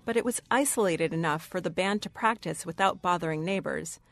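A woman's voice: lowish voice, fairly quick, gravelly, no echo. A woman radio reporter reading scripted narration for a feature story.

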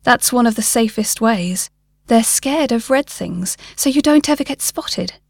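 A woman's voice: fairly high pitch, animated, a little slow, creaky, a little mellow, no echo, clean studio sound, exaggerated voice. A woman voicing a paranoid man in his late fifties, an urgent, emphatic conspiracy theorist in a short story. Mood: intense, urgent. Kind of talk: short story character dialogue.

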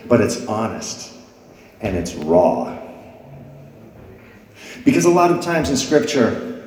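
A man's voice: on the low side, big pitch swings, slow pace, a little mellow, slight background noise. Man preaching in an echoey hall. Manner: dramatic reading of scripture, rising and dropping his voice. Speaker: pastor. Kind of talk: sermon. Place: church sanctuary before a congregation. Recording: echoey hall with long reverb.

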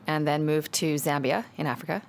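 A woman's voice: deep voice, fairly quick, gravelly, a little hiss or hum. A woman from England, chatting playfully on a podcast. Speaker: podcast co-host. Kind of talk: casual chat.

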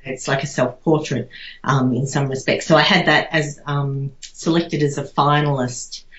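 Low-voiced Australian woman, echoey recording. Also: steady tone, moderate pace, a bit bright. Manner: rambling, conversational, many "you know"s. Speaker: interview guest. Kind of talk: casual reflective interview answers. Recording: echoey room, slightly dull sound.

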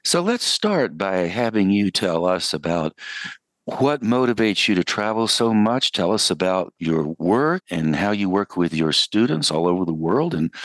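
A man's voice: lowish voice, dry recording. A man hosting an interview, putting open questions to a guest in a conversational style. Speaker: interview host.

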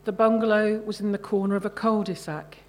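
A woman reading her own short story at a live literary event in a measured, deliberate way. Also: medium pitch, fairly even intonation.

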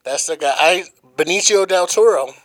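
A man's voice: high-pitched, moderate pace, a bit bright. A man co-hosting a podcast, trading profane, slangy jokes in casual banter. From Colorado. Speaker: podcast co-host.

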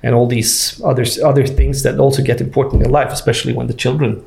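A man's voice: medium pitch, flat delivery, gravelly, a little mellow. A man in his forties who grew up in Sweden, speaking as a guest in an easygoing talk, with lots of fillers and restarts. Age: 40s. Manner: halting, many fillers and restarts. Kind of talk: casual conversation.

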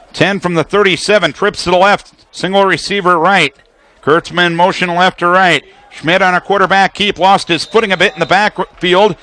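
A male play-by-play announcer with a high-pitched, bright and forceful voice calls live football for radio. He lays out the situation and the action in clipped, detailed phrases.

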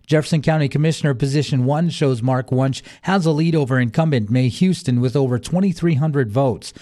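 A man's voice: medium pitch, mellow. A man reading a scripted local news and sports bulletin as the newsreader. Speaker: local radio news reader.

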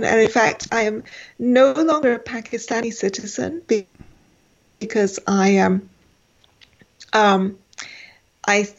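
A woman's voice: fairly high pitch, gravelly, dull audio. A woman in her forties, a guest in an interview, speaking with many fillers and restarts. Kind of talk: conversational interview.

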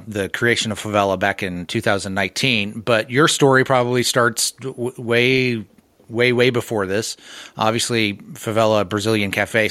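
A man's voice: medium pitch, fairly bright tone, no room sound. A man hosting a casual interview, friendly and affirming, who keeps the guest going with questions. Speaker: podcast host.

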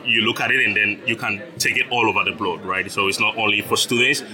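Man from Ghana, young adult, founder, very bright tone, patient explainer, laughs easily. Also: on the low side, fairly even intonation, quick pace, a faint crowd. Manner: explains patiently, laughs often, repeats words. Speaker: startup founder. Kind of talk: interview guest.

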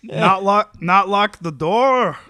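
A man reads the part of a petulant sitcom husband who justifies himself. The voice is high for a man and closely recorded, with a dry sound and an amateur table-read delivery. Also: slow pace, pushed and bright, no room sound, full character voice. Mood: petulant, flippant.

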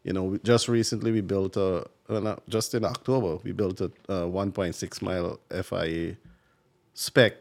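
Male interview guest, very low pitch, conversational delivery. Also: fairly even intonation, normal speed, vocal fry, darkish tone. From Guyana. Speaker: guest, club racing rider. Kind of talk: casual interview chat.

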